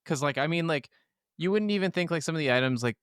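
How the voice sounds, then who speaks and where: A man's voice: on the high side, lively intonation, quick pace, fairly bright tone, smooth, no echo, clean studio sound. A man co-hosting a casual gaming podcast, talking in an enthusiastic, profanity-laced way. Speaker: podcast co-host.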